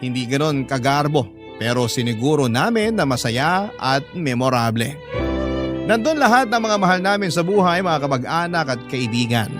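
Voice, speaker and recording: A man's voice: mid-range voice, lightly acted. A man narrating as an earnest, sentimental letter writer confiding a love story in a dramatic first-person radio style. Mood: happy, sentimental. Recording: music bed under narration.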